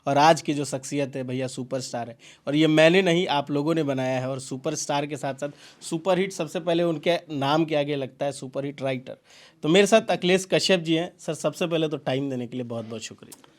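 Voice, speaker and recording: A man's voice: fairly high pitch, a little fast. A man with a Bhojpuri-region Indian accent, hosting and asking questions in a video news interview. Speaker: interviewer and host.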